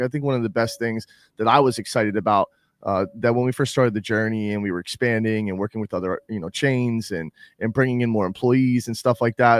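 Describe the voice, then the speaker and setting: A man's voice: mid-range voice, no room sound, studio quality. A man co-hosting a casual podcast chat, talking in long rambling, enthusiastic runs.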